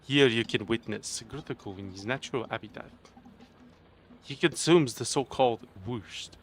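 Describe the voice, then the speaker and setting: A man doing a hushed nature documentary narrator. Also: mid-range voice, normal speed, a little hiss or hum, voice pushed down, lightly acted. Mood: mock-solemn.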